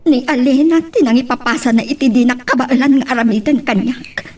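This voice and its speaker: A woman with an Ilocano accent playing a hurt young woman confiding sorrowfully in an audio love drama, in a husky voice, a little off-mic. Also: high voice, brisk, far from the mic.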